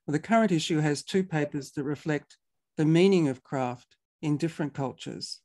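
Man hosting an online talk, measured. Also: fairly high pitch, a little mellow. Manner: measured, formal introductions with fillers. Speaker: webinar host. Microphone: video call.

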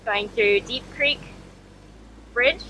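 A woman's voice: a little high, slow pace, pushed and bright. A young woman casually narrating a sailing vlog from the deck of a sailboat, chatty and laughing often as she explains. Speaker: sailing vlogger. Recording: noisy outdoor camera audio, boat and water. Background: boat engine and water noise.

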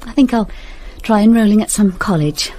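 A woman's voice: mid-range voice, moderate pace, dark tone, lightly acted. An actress playing a fragile, forlorn teenage girl in a radio drama, subdued and plaintive.

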